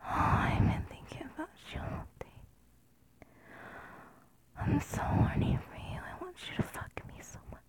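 Breathy whispering woman, slow and close. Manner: hushed, pleading, drawn-out delivery. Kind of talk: intimate whispered erotic audio, addressed to a partner.

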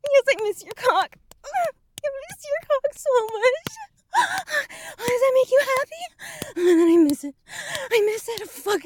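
Very high, breathless overwrought bride, acted by a woman. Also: big pitch swings, measured pace, a little hiss or hum, full character voice. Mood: breathless, aroused. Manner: breathless, moaning, gasping delivery. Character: conflicted adult bride in an illicit affair, overwrought. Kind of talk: explicit adult audio roleplay.

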